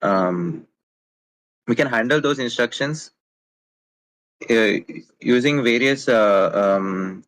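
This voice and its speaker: A man's voice: medium pitch, takes it slowly, pauses often, fairly bright tone, rough recording. A man answering technical questions in a casual online meeting, hesitant with many fillers and restarts. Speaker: mentee presenting a project.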